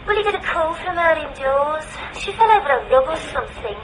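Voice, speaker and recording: An anxious, chatty agency woman in a radio drama. Her voice is extremely high and swoops excitably, with clear noise behind it. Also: fairly quick. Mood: gossipy, unsure.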